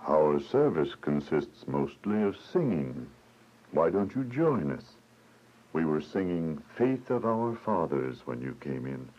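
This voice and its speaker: An actor playing a kindly, devout, welcoming prisoner with a gentle fatherly manner in a radio drama. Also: extremely low pitch, very animated, unhurried, heavily acted. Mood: warm, welcoming. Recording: dull lo-fi, faint background noise.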